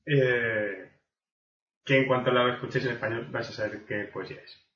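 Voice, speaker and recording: A man talks in a halting way, full of fillers and restarts. He sits back from the microphone in an echoey space. The sound is dull and muffled. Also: mid-range voice, fairly even intonation, fairly bright tone, recorded from a distance, a little hiss or hum. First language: Spanish. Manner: halting speech full of fillers, recites slowly and with emphasis. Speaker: guest poet. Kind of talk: radio chat and poetry recital.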